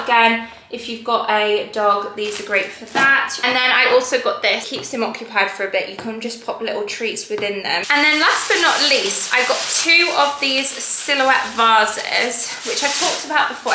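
A woman's voice: a little high, noisy background. A young woman from England, chatting upbeat to camera in a home haul vlog as she lists her buys one by one. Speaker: lifestyle vlogger. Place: large bare room at home, indoors. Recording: echoey empty room.

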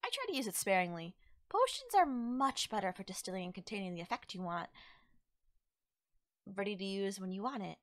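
Young female witch, played by a woman, coy, teasing, soothing, very animated intonation, conversational roleplay, dry close sound. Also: medium pitch, a little fast, dry recording, natural voice. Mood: breezy, confiding. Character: playful, teasing young female forest witch, gently reassuring. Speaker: roleplay voice actor. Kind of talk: ASMR audio roleplay, addressed to the listener.

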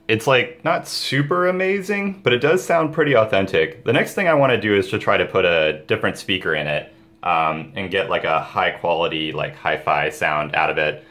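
A man's voice: on the low side, animated, moderate pace, a bit bright, soft background music. A man talking casually and enthusiastically to viewers as he hosts a hobby project vlog, recorded indoors at home. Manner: informal, enthusiastic. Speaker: DIY video maker, host. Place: indoors, small home room.

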